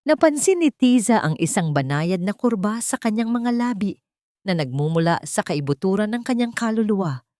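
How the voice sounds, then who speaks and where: A woman storyteller reading a scripted romantic drama in a measured, literary manner. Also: medium pitch, big pitch swings, darkish tone, no echo, studio quality.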